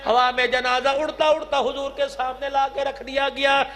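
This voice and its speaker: A man's voice: very high voice, level tone, a bit bright. A man preaching an impassioned Islamic sermon, declaiming and drawing phrases out into a chant. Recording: long hall reverb, music-like sound behind.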